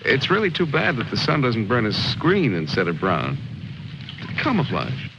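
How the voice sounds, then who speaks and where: An actor playing a wry, cool-headed army lieutenant, sardonic and commanding, in 1950s war film style. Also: male voice, medium pitch, wildly swooping pitch, moderate pace, vocal fry. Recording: dull, very noisy old film soundtrack.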